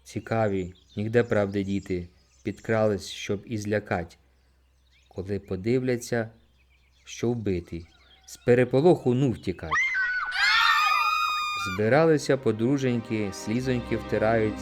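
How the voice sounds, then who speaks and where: A man narrating an audiobook, reciting poetry in an expressive, theatrical way. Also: fairly low pitch, a little slow, shouting. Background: music.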